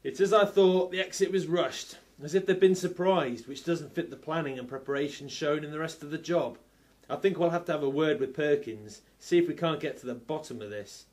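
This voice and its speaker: A man playing a sceptical, polite police inspector in a steampunk radio play, with a measured manner. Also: a little high, darkish tone, close to an everyday voice. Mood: brisk.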